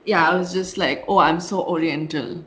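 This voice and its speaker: A woman from India, co-hosting a casual podcast and telling animated stories with laughs. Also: lowish voice, moderate pace, low-quality recording. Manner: animated storyteller, laughs mid-sentence. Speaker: podcast co-host. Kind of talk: casual chat.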